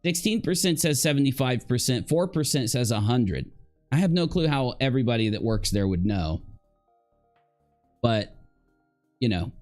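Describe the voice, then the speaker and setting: A man commentates casually on a livestream in a middling-pitched voice. He thinks aloud in a halting way and often turns his points into questions. Music plays softly behind him. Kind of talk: casual live reaction commentary.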